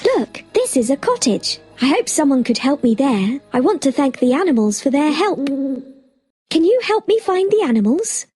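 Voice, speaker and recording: A woman's voice: high-pitched, big pitch swings, moderate pace, a little mellow, soft background music. A woman playing a sweet, polite, innocent young princess in a children's fairy tale, earnest and full of wonder. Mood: grateful.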